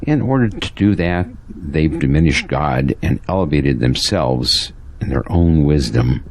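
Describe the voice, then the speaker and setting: A man's voice: very deep voice, expressive, unhurried, vocal fry, heavily acted. A man acting as a devout, troubled pastor in an audio drama, speaking with earnest, preachy conviction. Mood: grave, disapproving.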